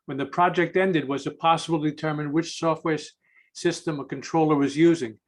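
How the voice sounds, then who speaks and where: Casual male moderator, slightly high voice. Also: steady tone, brisk. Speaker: question moderator. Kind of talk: relaying audience questions informally.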